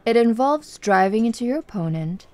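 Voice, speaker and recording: A woman playing a confident, flirtatious tomboy MMA coach in an ASMR roleplay, speaking softly and teasingly to the listener. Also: fairly high pitch, expressive, lightly acted. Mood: instructing, playful. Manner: slow, teasing, giggly, encouraging.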